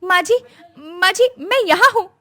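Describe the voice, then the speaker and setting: A woman's voice: very high-pitched, expressive, unhurried, pushed and bright, voice pushed up, heavily acted. A woman voicing a young, modern daughter-in-law who is earnest, outspoken and caring.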